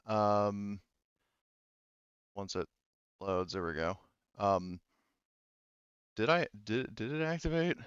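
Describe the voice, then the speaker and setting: A man running a tabletop roleplaying game as game master, casually narrating and chatting in a rambling, halting way. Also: on the low side, clear, resonant tone, no room sound, highs cut off.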